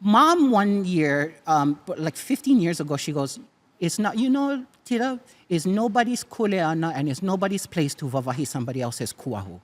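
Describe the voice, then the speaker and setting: Hawaiian Pidgin accent, guest, emphatic, teacherly, repetitive phrasing, very lively pitch. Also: moderate pace. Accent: Hawaiian Pidgin English. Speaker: guest, cultural practitioner. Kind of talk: casual conversation.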